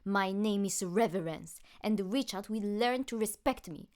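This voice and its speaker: A woman voicing an angry, domineering shadow-blob supervillainess in an audiobook, shouting commands in drawn-out words. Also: medium pitch, lightly acted. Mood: furious.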